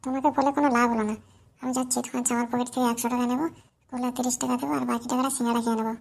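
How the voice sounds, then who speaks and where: A woman voicing a chatty, cheeky schoolgirl with a sassy, animated manner in a comedy cartoon. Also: a little high, very fast, vocal fry.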